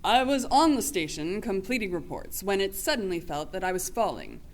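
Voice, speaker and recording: A woman's voice: medium pitch, big pitch swings, moderate pace. A woman playing a stern, matter-of-fact female lieutenant in a radio play, formal and clipped.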